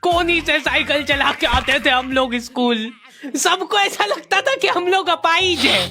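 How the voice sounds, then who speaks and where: A man narrating a comic first-person cartoon story in an exaggerated way, his voice sounding artificially pitched up. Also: animated, unhurried, sharp tone. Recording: faint background music.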